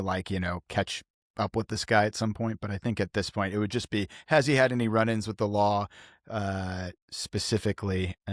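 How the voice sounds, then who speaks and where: A man's voice: fairly low pitch, no echo, clean studio sound. A man playing a tabletop role-playing game, talking casually and tentatively as he thinks aloud.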